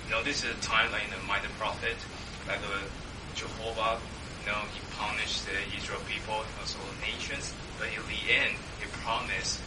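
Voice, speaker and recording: A man from Taiwan, a Mandarin speaker, sharing haltingly in English at a church meeting, with many fillers and tag questions. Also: lowish voice, level tone. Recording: echoey room, distant mic, background noise.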